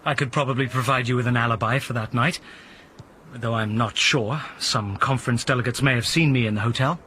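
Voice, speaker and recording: An actor playing a former schoolteacher answering a police inspector with frank, rueful candor. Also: male voice, mid-range voice, a little fast, bright, forceful tone, a little hiss or hum, close to an everyday voice.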